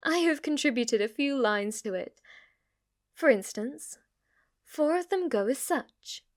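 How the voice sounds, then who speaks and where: A woman's voice: high-pitched, expressive, a little slow, no room sound, clean studio sound. A woman playing an obsessively devoted, submissive maid in an ASMR roleplay, speaking formally, reverently and flirtatiously to her master. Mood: reverent, proud.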